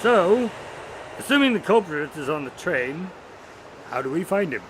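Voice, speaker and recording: A man plays an eager, inquisitive sidekick to a detective in a radio drama. His voice sits a little high for a man, and his phrasing is somewhat formal. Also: very animated, normal speed, noisy background. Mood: curious, eager. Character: Dr. Watson's grandson, detective's eager questioning sidekick.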